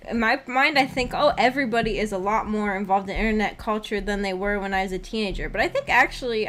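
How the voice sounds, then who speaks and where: A woman's voice: medium pitch, fairly bright tone. A young adult woman chatting casually on a livestream, thinking aloud in a hesitant, rambling, slightly giggly way. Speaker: streamer reading and answering live chat. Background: background music.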